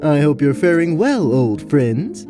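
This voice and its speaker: Man playing a hearty, animated fantasy prince. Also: on the high side, a little slow, music in the background. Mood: genial greeting. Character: genial prince in glittering armor, an old acquaintance.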